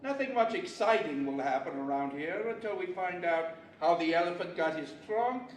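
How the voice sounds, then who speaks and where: A man's voice: high voice, animated, normal speed. An older man playing an imperious djinn, boss of the deserts, pompous and scolding in a radio theater style. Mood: wry. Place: large library event room, live stage. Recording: distant, echoey live hall with faint noise.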